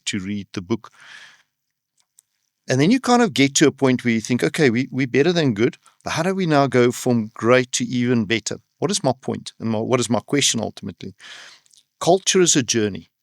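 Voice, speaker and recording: A man hosts an interview podcast and asks slow, reflective questions, filling the gaps with uhs. His voice is gravelly with a middle pitch. The recording is dry and close. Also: no room sound. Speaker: CEO and podcast host. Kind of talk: conversational interview hosting.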